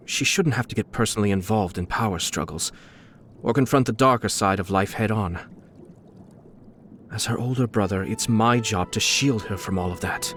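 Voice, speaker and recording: A man voicing a refined, suave young nobleman and clan head in a video game, coolly shrewd, with polished, measured, formal diction. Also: lowish voice, a bit bright, a little hiss or hum, lightly acted. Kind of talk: scripted video game character dialogue. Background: background music.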